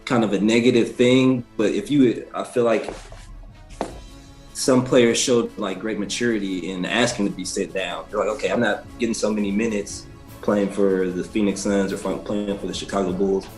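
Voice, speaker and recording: A man's voice: on the low side, steady tone, moderate pace, darkish tone, soft background music. A man in his thirties from Indianapolis with an American accent, chatting casually and colloquially as an interview guest. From Indianapolis, United States. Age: 30s. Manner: colloquial, laid-back, often tags sentences with "you know". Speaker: podcast guest, basketball player. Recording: roomy, echoey sound.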